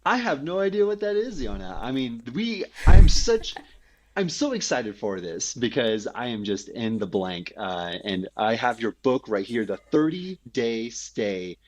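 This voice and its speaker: A man's voice: mid-range voice, big pitch swings, unhurried, a crowd in the background. A man hosting a podcast, eager and playful, asking his guest questions in casual chat. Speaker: podcast host.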